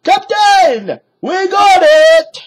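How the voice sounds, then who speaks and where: A man doing a whiny high pirate sailor. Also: very animated, measured pace, fairly bright tone, exaggerated voice. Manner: whining. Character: whiny, nervous adult male pirate sailor.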